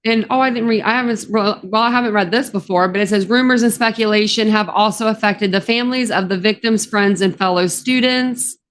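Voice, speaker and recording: A woman's voice: medium pitch, fairly even intonation, a little fast, hardly any pauses. A woman with a Southern drawl, chatting casually on a livestream. Her voice is a bit scratchy from the morning, and she rambles with plenty of ums. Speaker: true crime YouTuber.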